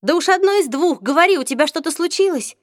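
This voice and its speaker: A woman voicing a lively teenage girl. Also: very high-pitched, fast talker, no echo, clean studio sound, pitched above the performer's own voice. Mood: alarmed. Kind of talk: audiobook reading.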